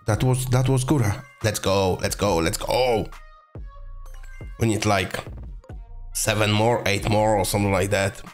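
A young man with a voice hoarse from being sick, chatting casually through a game video and getting excited over rare drops. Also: fairly low pitch, unhurried, pauses often, soft background music. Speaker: gaming video host. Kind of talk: casual gameplay commentary.

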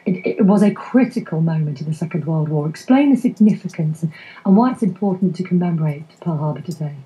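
Woman, live news interviewer, very dark mellow tone, somewhat hesitant delivery. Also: low-pitched, lively intonation, a little fast, rough recording. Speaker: radio news presenter, interviewer.

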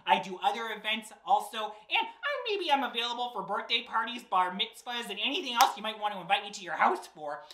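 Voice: mid-range voice, very animated, brisk, hardly any pauses, background noise. A drag storyteller with a theatrical, exaggerated and playful delivery, reading aloud to children and chatting as host. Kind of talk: animated children's read-aloud and chatty presenting. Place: small indoor set, seated in an armchair. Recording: roomy indoor echo.

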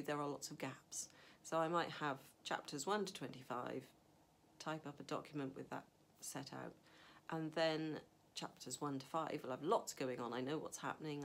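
Low, gravelly-voiced woman giving writing advice. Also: slight background noise. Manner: chatty, thinking aloud with fillers and restarts. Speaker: novelist. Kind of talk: casual advice talk to camera. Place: home study with a map on the wall.

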